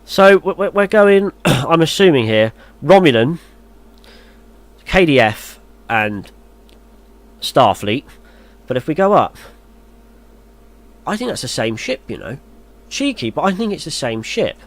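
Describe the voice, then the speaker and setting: A man's voice: a little high, sing-song, unhurried, long pauses. Chatty man reading game news. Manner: muses aloud, hesitant and chatty when commenting. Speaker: gaming YouTuber. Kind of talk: reading game news aloud, then casual commentary. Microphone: voice-over on a screen recording.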